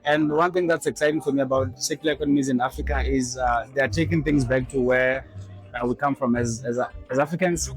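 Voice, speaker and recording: A man with a mid-range voice speaks in a measured way in a documentary interview. He pauses often with ums and uhs. Faint music plays in the background. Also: mid-range voice, fairly even intonation, rough recording. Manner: measured, hesitant with fillers.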